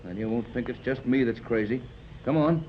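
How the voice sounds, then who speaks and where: An actor playing Tex, a steady, commanding ship's officer, in a 1930s radio drama. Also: male voice, mid-range voice, moderate pace, close to an everyday voice. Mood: uneasy. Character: steady, grave male seaman, middle-aged. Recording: muffled old radio transcription with hiss.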